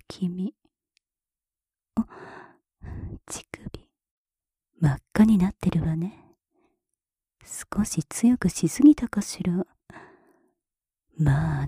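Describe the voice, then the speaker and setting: A woman voicing a cool, mocking, dominant woman in a whispered ASMR roleplay. She speaks very close to the mic, in a soft whisper broken by taunting laughs. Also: medium pitch, breathy edge, no echo. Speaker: voice actress.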